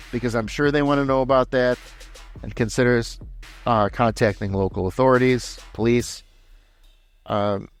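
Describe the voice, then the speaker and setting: Man hosting a casual podcast, music underneath. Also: medium pitch. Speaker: podcast host. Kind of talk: casual podcast commentary on scam stories, partly read aloud.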